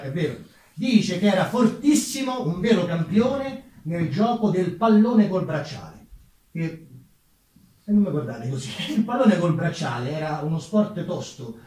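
A man's voice: on the high side, darkish tone. A man with a Roman Italian accent tells history theatrically to an audience, with colloquial, ironic asides. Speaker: stage narrator, solo theatre performer. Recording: very echoey room, distant microphone.

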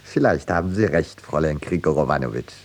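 An actor playing an urbane, dryly ironic painter in a radio play, polite, hesitant and deadpan. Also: male voice, extremely low pitch, fast talker, slightly rough, heavily acted. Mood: dry, unruffled. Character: urbane, dryly ironic male painter telling his tale. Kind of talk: 1950s German radio play acting and narration.

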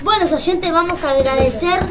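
A woman's voice: very high voice, moderate pace, background noise. A female co-host with an Argentine accent, giving an informal radio sign-off.

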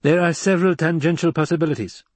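Man voicing a high, precise scheming adviser. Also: fairly even intonation, fast talker, no room sound, close to an everyday voice. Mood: coolly analytical. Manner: precise, formal, higher-pitched exposition. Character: clever male mentat adviser, precise, supercilious schemer. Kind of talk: audiobook character acting.

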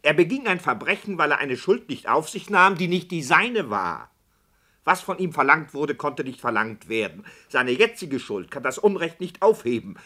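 An actor playing a radio drama director who protests and argues emphatically with the author. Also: male voice, fairly high pitch, sing-song, normal speed, sharp tone, heavily acted. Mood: argumentative. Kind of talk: radio play acting.

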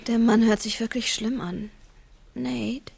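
A woman's voice: a little high, flat delivery, slow, gravelly, a little mellow, slight background noise. An actress playing a headstrong, coaxing young woman in a crime radio drama, speaking in natural dialogue. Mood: concerned.

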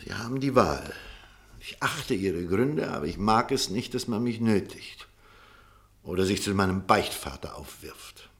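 An actor playing a stubborn, principled doctor in a German radio drama, speaking formally and firmly. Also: male voice, fairly low pitch, animated. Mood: grave, torn. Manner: formal, firm, measured argument.

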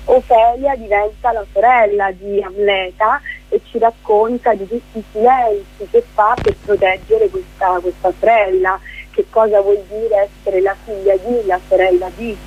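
A woman's voice: mid-range voice, fairly even intonation, moderate pace, lo-fi sound, slight background noise. A woman speaking as a guest theatre director in a conversational radio interview, explaining things discursively.

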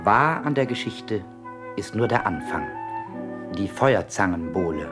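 An actor playing a cheeky, self-assured young writer in a radio play, with a sly, polite manner. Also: male voice, lowish voice, animated, measured pace, music in the background. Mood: reflective. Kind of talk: radio play acting and narration.

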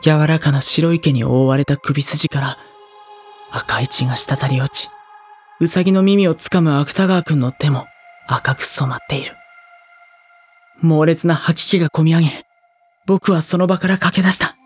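A woman voicing a gentle, introspective, anguished teenage schoolboy in a Japanese audio drama, speaking softly and hesitantly as he narrates. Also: deep voice, normal speed, long pauses, slightly rough, soft background music. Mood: stunned, dread. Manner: soft-spoken, hesitant, brooding. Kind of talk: Japanese audio drama acting with first-person monologue.

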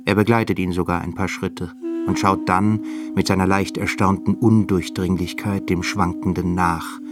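A man narrating a literary radio drama in a sober, measured manner. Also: low voice, steady tone, close to an everyday voice.

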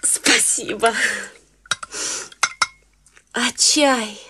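A woman's voice: on the high side, very slow, bright, forceful tone, husky, full character voice. An actress playing a prim, proper middle-aged society mother who speaks politely, formally and with some hesitation in a radio play. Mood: courteous. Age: middle-aged (figure).

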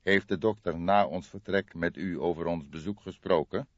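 A man voicing a kindly but insistent police detective in an audiobook, questioning in a measured way. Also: sits low, no echo, muffled recording, natural voice. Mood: calmly probing.

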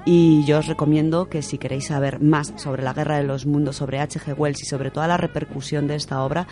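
A man's voice: fairly high pitch, fairly even intonation, fairly quick, darkish tone, faint music behind. A man with an accent from Spain co-hosting a radio show in an enthusiastic, casual, chatty way. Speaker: podcast co-host presenting a literature section.

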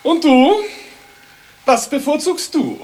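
An actor playing a placid academician who spouts banal truths and drily needles, in an absurdist radio comedy. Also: male voice, extremely high pitch, slow pace, crowd noise. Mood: philosophising. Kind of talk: absurdist comic radio play acting.

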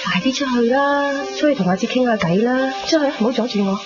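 A woman's voice: fairly high pitch, quick pace, background noise, lightly acted. A woman with a Hong Kong Cantonese accent playing a caring, fussing middle-aged mother in a radio drama. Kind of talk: 1990s radio drama acting. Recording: dull lo-fi radio recording under music.

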